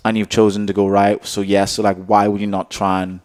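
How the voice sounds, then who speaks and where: A man with a lowish voice talks in a relaxed, chatty way on a podcast. He jokes with his co-hosts and lets his sentences drift off quietly. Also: brisk. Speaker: podcast host. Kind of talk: casual chat.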